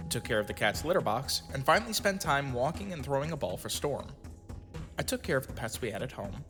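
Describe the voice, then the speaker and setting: A man's voice: mid-range voice, lightly acted. A man acting in an audio drama as a reflective storyteller and his shy, self-conscious younger self. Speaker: narrator and lead actor telling his own story. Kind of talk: audio drama narration and acted dialogue.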